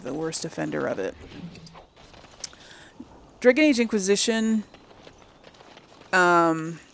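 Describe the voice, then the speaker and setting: A woman's voice: lowish voice, creaky, a little hiss or hum. A woman hosting a let's play, chatting casually to herself and her viewers as she comments on the game.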